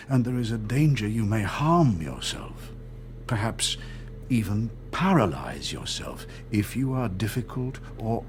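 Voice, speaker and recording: Radio drama: middle-aged party interrogator, low register, very varied intonation, measured, menacing, condescending. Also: male voice, a little hiss or hum. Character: cold, controlled party interrogator and torturer, patronising and menacing.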